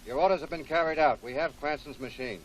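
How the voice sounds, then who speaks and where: Actor as a quick, eager 1940s gangster henchman. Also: fairly high pitch, lightly acted. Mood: dutiful. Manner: clipped, tough, deferential. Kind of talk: 1940s film serial acting. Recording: noisy old 1940s film soundtrack.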